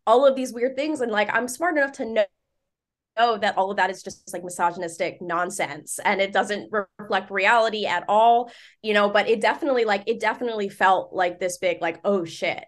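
A woman's voice: mid-range voice, a little fast. A thirty-year-old woman chatting casually as a podcast guest, candid and colloquial, often saying "like". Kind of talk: casual chat.

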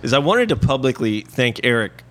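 A man's voice: medium pitch, very animated, normal speed, fairly bright tone, a little hiss or hum. Joking, profane male podcast co-host. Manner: joking, profane, laughs often. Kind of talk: casual live podcast banter. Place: coffee shop with live audience.

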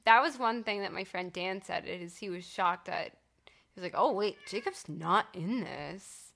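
A woman's voice: fairly low pitch, a little slow, gravelly, a bit bright. A woman co-hosting a podcast, chatting casually at home, agreeing easily and laughing along. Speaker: podcast co-host. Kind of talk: casual chat. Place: home room.

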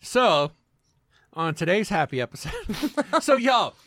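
A young man hosting a podcast in casual chat, swearing often and ranting with lively energy. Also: sits high, a little slow, dry recording, studio quality. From Utah. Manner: profane, animated ranting. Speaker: podcast host.

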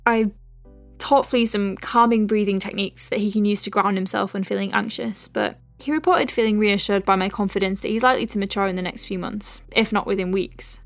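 A woman acts as a conscientious female doctor in an audio drama, recording case notes at a medium pitch. She moves between clinical dictation and gentle, chatty asides, with music underneath. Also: steady tone, fairly quick, dry recording, close to an everyday voice. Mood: professional, gentle. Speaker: lead character, physician.

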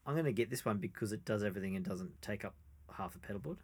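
Male co-host, lowish, easygoing, chatty agreement. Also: racing, breathy edge. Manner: relaxed, short agreeing replies and musing asides. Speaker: podcast co-host. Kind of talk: casual chat.

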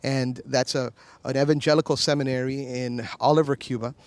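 Male pastor, 50s, from Miami; sincere storyteller, mid-pitched voice, dry close recording. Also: little pitch movement, brisk, darkish tone, no echo. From Miami, USA. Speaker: pastor and guest. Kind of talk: conversational interview.

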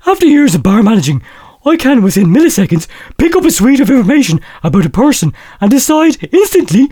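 Man putting on a high, smug, boastful letter writer. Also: very animated, fast, warm tone, full character voice. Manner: patronising, self-satisfied. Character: smug, boastful old friend reading his patronising letter. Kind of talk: comic letter reading.

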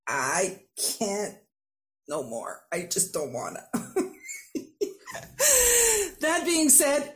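A woman in her fifties with a fairly high voice hosts a relaxed podcast chat over a video call. She speaks slowly and unhurriedly. She laughs easily between her remarks. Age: 50s. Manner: chatty, laughs often. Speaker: podcast host. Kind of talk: casual chat.

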